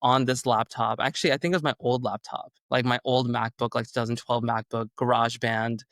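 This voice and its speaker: A man's voice: medium pitch, steady tone, fairly quick, a bit bright, no room sound, studio quality. A young man, a singer whose first language is Urdu, talking in a rambling casual way with many fillers. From Canada. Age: young adult. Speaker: singer-songwriter guest. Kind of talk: casual interview chat.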